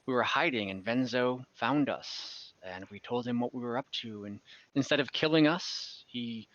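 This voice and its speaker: A man playing a wary old kobold survivor who speaks in a halting, deliberate, questioning way. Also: medium pitch, a bit bright, no echo, highs cut off, lightly acted. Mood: solemn, reminiscing.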